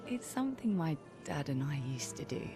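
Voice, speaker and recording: A woman voicing a quiet, wistful young woman in a video game cutscene, soft and reflective as she confides. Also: deep voice, sing-song, normal speed. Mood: wistful, tender. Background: music.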